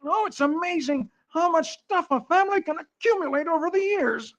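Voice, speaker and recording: A man's voice: expressive, fairly quick, noisy background. A man voicing a flustered, grumbling comic-strip husband in an exclamatory 1940s radio style, on an old muffled recording. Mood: exasperated. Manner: exclamatory, high-strung.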